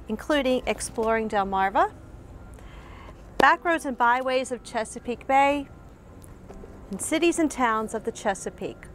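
A middle-aged American woman hosting a scripted travel piece to camera, speaking clearly and deliberately. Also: fairly high pitch. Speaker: host, librarian. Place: outdoors in a small waterfront town.

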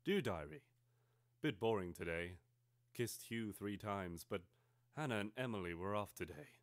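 A man voicing a melodramatic twelve-year-old schoolgirl confiding in her diary, theatrical and overwrought, swinging between hushed and exclaiming. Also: on the low side, lively intonation, moderate pace, no echo, studio quality, close to an everyday voice. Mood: moody, worried. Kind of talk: theatrical dramatic reading of a teenage diary.

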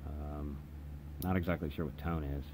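A man giving a casual, unscripted hands-on product demonstration in a halting, hesitant way, as a hobbyist reviewer. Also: extremely low pitch, steady tone. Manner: hesitant, halting delivery with trailing phrases. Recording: clear background noise in room.

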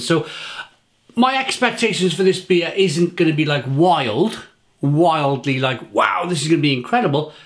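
A man in his forties from England, reviewing a beer to camera in his home kitchen, chatty and often repeating words as he searches for them. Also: a little high.

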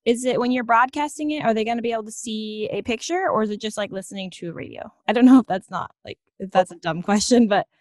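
A woman's voice: on the high side, fast, few pauses, dry recording, clean studio sound. A woman hosting a podcast, interviewing in a casual conversational style. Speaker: podcast host.

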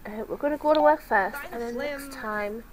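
A woman's voice: a little high, normal speed, heavy background noise. A woman with a cold, casually commenting on her gameplay and talking to her characters. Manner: chatty, talks to her game characters. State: sick with a cold. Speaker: let's play host.

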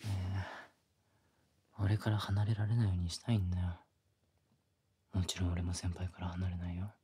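A man's voice: deep voice, flat delivery, moderate pace, mellow, slight background noise, lightly acted. A man playing a pushy, affectionate younger male coworker in love, murmuring teasingly in an intimate ASMR boyfriend roleplay, often close to a whisper. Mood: coaxing, affectionate. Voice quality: breathy, often dropping to a whisper. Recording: close binaural recording with background music.

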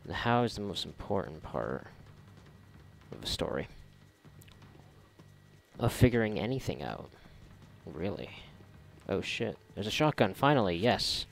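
A man giving casual, reactive commentary while gaming, sarcastic and foul-mouthed, with a gravelly voice. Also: low-pitched, big pitch swings, a little slow, long pauses, soft background music. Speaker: gamer recording a playthrough. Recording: noisy, game gunfire behind voice. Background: video game gunfire and ambience.

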